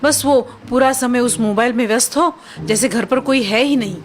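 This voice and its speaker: A woman acting a nagging mother-in-law, fairly high-voiced. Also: normal speed, a little hiss or hum, natural voice. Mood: annoyed, grumbling. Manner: exclamatory, grumbling. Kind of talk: children's cartoon story voice acting.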